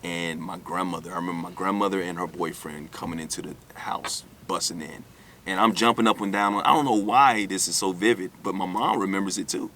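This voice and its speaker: Low-voiced man telling his life story. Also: brisk, bright, forceful tone, slight background noise. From United States, born in New York, raised in Alabama. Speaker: interview guest, author. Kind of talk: candid personal storytelling in an interview.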